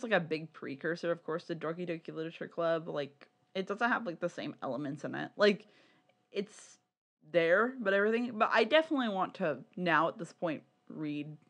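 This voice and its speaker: A podcast co-host chatting casually and swearing freely about a hobby. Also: medium pitch. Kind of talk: casual podcast chat about visual novels.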